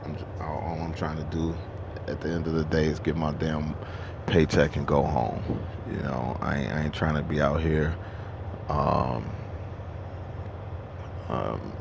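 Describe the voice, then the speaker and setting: A man's voice: extremely low pitch, gravelly, background noise. A truck driver in his thirties talking casually to camera at a truck stop, rambling and profane, with many restarts. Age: 30s.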